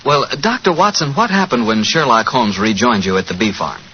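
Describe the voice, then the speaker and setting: A man announcing and hosting in polished 1940s radio style, pitching to the listener. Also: animated, fairly quick. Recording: noisy, muffled 1940s radio recording.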